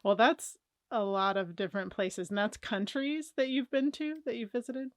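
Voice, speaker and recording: Woman host from the US, mid-pitched, eager and encouraging, dry sound. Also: normal speed, dry recording. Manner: encouraging, exclaims often. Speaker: podcast host. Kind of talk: casual interview.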